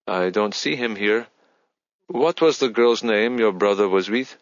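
An effervescent Spanish female receptionist, as a male reader plays her, polite and chatty. She is apologetic yet businesslike, with a lifted pitch. The storybook recording sounds dull and boxy. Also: a little slow, no echo, natural voice. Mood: bubbly, helpful.

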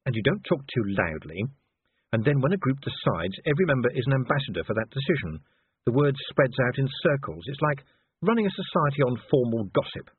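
A man's voice: mid-range voice, sing-song, fairly quick, husky, no room sound, narrow, tinny audio, voice pushed up. Man playing a weary, wry ambassador. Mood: pondering. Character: weary, wry male ambassador, reluctant and thoughtful. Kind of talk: audiobook character voice.

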